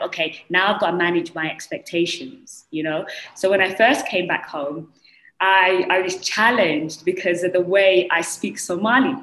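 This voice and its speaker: A young woman with a strong British accent, telling her story as a panelist on a video call, animated and full of "you know"s. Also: lowish voice, echoey room, rough recording. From United Kingdom. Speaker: panelist, entrepreneur. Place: small room indoors. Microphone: earphone mic on a video call.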